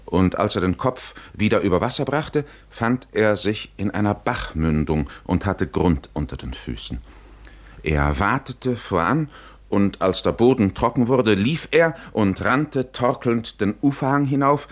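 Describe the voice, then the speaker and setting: A man narrating a literary 1950s radio drama in a grave, measured, deliberate storytelling manner. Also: fairly low pitch, expressive.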